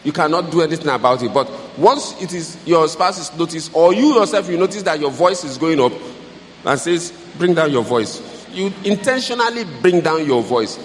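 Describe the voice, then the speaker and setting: A man with a big, loud voice giving advice to an audience, teaching with repeated key phrases. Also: on the high side, animated, moderate pace, a little hiss or hum. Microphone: handheld mic. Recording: echoey hall with faint ambience.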